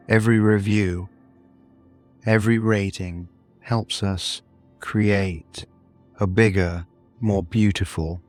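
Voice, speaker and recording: A man narrating a scripted bedtime story in a soothing, deliberate manner, with long pauses between short phrases. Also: low voice. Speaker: host and narrator of a sleep story podcast.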